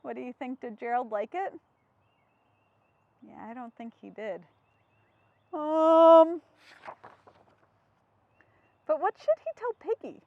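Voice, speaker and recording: Female storytime host: very high voice, unhurried, expressive, child-directed read-aloud with questions. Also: highs cut off.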